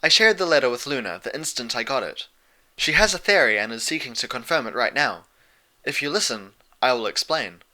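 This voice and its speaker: A man voicing a calm, regal pony princess in a storybook reading, a wise and motherly teacher with a measured, lecturing manner. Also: medium pitch. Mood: patient. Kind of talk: fan fiction audiobook character voice.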